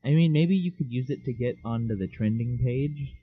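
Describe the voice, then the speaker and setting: A man's voice: mid-range voice, moderate pace, slightly rough. A young man co-hosting a podcast, chatting casually with an offhand, joking manner and hesitant restarts. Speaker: podcast co-host. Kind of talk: casual chat. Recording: tinny phone-like lo-fi mic.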